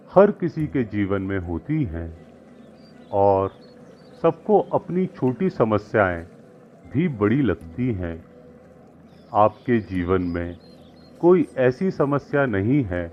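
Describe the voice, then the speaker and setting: A man voicing a calm, wise village holy man who gives counsel in a moral story, measured and didactic with deliberate pauses. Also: on the low side, slight background noise. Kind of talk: acted moral-story dialogue. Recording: muffled lo-fi with music behind.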